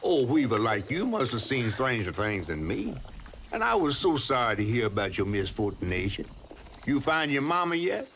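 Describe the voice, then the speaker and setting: A man playing a chatty, flattering fish in a video game, with a Southern US accent and flowery, wheedling speech. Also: mid-range voice, normal speed, a little hiss or hum. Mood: courteous, wheedling. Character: folksy, chatty male talking fish, flattering and theatrical. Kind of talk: video game character acting. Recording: music behind the voice.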